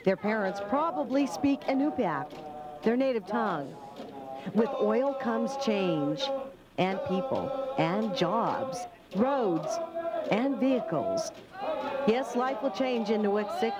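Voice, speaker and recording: A woman host giving scripted narration for a news feature, in a slow, deliberate delivery. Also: medium pitch.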